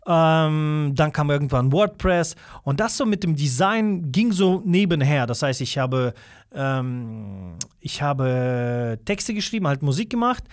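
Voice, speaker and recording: A young man whose first language is Russian, chatting as an interview guest over a video call. His voice sits a little high and sounds dry and close, with no room echo. He rambles with plenty of fillers and pokes fun at himself. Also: lively intonation, a little slow. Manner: rambling, self-deprecating, many fillers. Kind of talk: casual interview chat.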